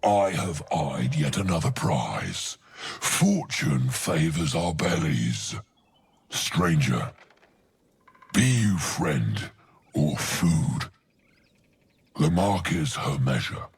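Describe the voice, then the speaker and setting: A man voicing a huge, erudite and greedy ogre in a video game, gravelly, florid and pompous, savouring his words. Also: rumbling low, sing-song, extremely slow, long pauses, full character voice. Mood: menacing grandeur. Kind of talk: video game character acting. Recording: game audio with faint music.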